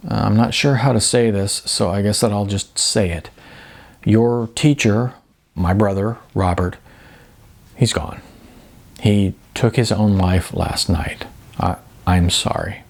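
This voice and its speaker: A man's voice: fairly low pitch, slow pace, creaky, exaggerated voice. A man reading a novel aloud as a grieving brother, speaking in a subdued, hesitant, stumbling way. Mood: somber, halting. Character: halting grieving man announcing his brother's death.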